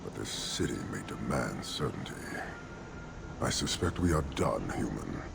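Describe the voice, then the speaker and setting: An actor playing a stern, disdainful warrior leader of an inhuman fantasy race in a video game, speaking in a measured, solemn way. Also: male voice, rumbling low, expressive, very noisy, exaggerated voice. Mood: solemn conviction. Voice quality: gravelly. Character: stern imposing male Qunari warrior leader, disdainful and rigid. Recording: game audio with loud background ambience.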